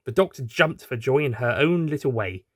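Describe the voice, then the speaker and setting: A man's voice: medium pitch, expressive, moderate pace, no room sound, studio quality. A man reading a scripted audio story as its narrator. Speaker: writer and narrator.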